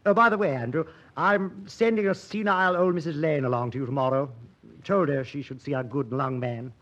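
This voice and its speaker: An actor playing a jovial, snobbish, money-minded doctor, hearty and breezy in old radio drama style. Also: male voice, a little high, fairly quick, a bit bright. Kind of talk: 1940s radio drama acting. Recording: dull, muffled old radio recording.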